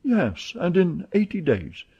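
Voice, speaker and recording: A man doing a lively, talkative young French valet, eager and quick to exclaim, his voice pitched well above a mellow husky base. The sound is a little dull, like a lo-fi audiobook. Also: exaggerated voice. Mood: excited. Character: excitable chatty French manservant, young man.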